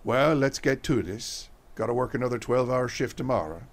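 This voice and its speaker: A man's voice: medium pitch, lively intonation, a little fast, close to an everyday voice. An actor playing a blunt, joshing working man in a radio mystery play. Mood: hearty, joking. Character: gruff, joshing working man on late shift.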